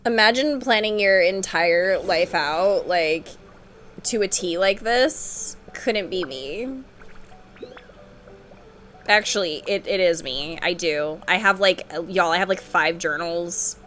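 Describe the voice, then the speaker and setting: Bright-voiced young woman streamer. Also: fairly low pitch, takes it slowly, faint music behind. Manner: expressive, exclamatory. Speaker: game streamer. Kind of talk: casual live reaction commentary.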